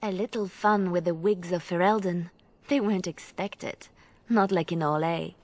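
Lowish-voiced, self-assured young rogue. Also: female voice, moderate pace. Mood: eager. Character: confident, playful young female rogue bard. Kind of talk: video game voice acting.

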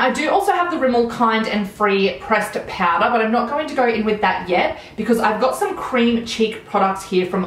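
Aussie woman in her 30s doing a makeup tutorial, chatty and keen, bit of room echo and faint hum. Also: medium pitch. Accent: Australian. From Australia. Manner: chatty, enthusiastic product commentary while applying makeup. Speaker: beauty vlogger. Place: small room at home, indoors.

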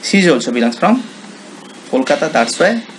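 A man with an Indian accent giving an informal, unscripted software tutorial in halting English with non-native phrasing. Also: a little high, sing-song, slight background noise, poor audio. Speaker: software demonstrator.

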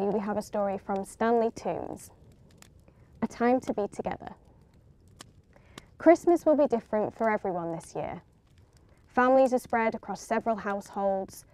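A woman's voice: a little high, darkish tone. A woman in her twenties reading stories aloud and presenting them to camera. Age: 20s. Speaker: story reader presenting children's stories.

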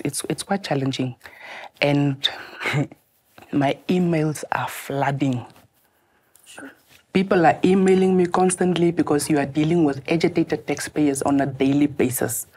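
A woman with a loud voice, answering interview questions in a studio in a lively, joking way with hesitant restarts. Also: low voice, husky. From Namibia. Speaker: tax officer guest. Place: TV studio, seated.

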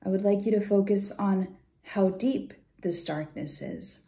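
Dark, creaky-voiced woman guiding meditation. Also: medium pitch. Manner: soothing, gentle, unhurried with pauses. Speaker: guided visualization guide. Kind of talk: guided meditation, soft slow instruction.

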